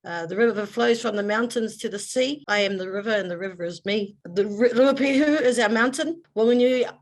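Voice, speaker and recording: A woman from New Zealand gives a measured, formal introduction in a fairly high voice. She speaks steadily, like a guest introducing herself on a video call. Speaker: guest business owner. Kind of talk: formal Māori introduction, then casual talk.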